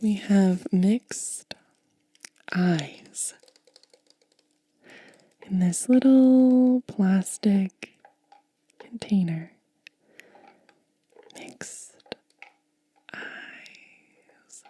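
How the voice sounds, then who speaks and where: A woman whispering softly and gently in an unhurried ASMR style, close to the microphone, pausing between short phrases. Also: medium pitch, no room sound. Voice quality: breathy, mostly unvoiced whisper. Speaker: ASMR host. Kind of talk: ASMR unboxing, hushed and soft-spoken.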